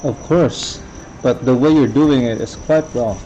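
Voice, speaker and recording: A man's voice: medium pitch, measured pace, mellow, highs cut off, slight background noise. A man giving casual, slangy gameplay commentary as a streamer, with a cocky manner. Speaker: game streamer. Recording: game music behind the voice.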